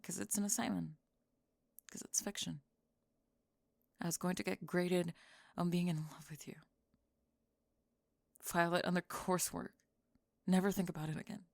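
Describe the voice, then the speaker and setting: A woman playing a young goth bully, defensive and reluctantly lovestruck, in an ASMR roleplay, speaking haltingly and dropping into whispers. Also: lowish voice, no echo, voice pushed down. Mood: bitter confessing. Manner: halting, breathy sighs, drops into whispers.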